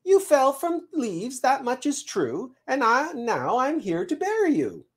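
A proud little squirrel messenger from a storybook, acted out by an adult reader, speaking in rhyme. The voice is high and chirpy, and it leaps about in pitch with a theatrical lilt. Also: very animated, a little slow, husky, full character voice. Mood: brisk. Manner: chirpy, theatrical rhyming speech. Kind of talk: storybook verse recital.

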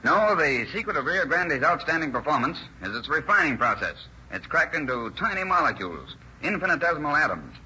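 An earnest chemist, very sharp voice. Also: male voice, fairly high pitch, very animated, fairly quick, hardly any pauses, exaggerated voice. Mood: earnest, pleased. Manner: explanatory, emphatic. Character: earnest, enthusiastic male chief chemist explaining his discovery. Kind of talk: 1930s radio advertising sketch acting. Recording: dull old radio recording, faint noise.

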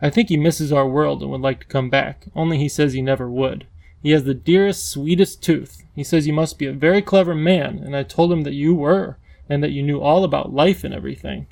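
A man's voice: fairly high pitch, steady tone, fairly quick, vocal fry, a little mellow. A man voicing a brave, earnest young girl who urges and coaxes in a storybook reading. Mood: soothing, eager. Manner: pleading, eager.

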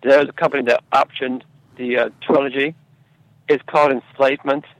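A man answering as a screenwriter guest in a conversational interview, speaking haltingly and repeating his words and phrases. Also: medium pitch, flat delivery, dry recording, muffled recording.

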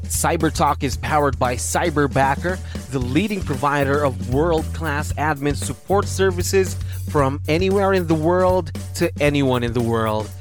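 A man's voice: on the high side, a little slow. A man reading a scripted promotional show introduction as an announcer. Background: music.

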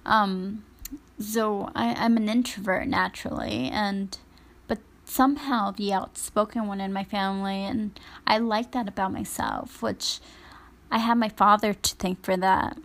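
A woman's voice: medium pitch, unhurried, fairly bright tone. A woman speaking reflectively about her upbringing, hesitant, with many fillers. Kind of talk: reflective personal testimony.

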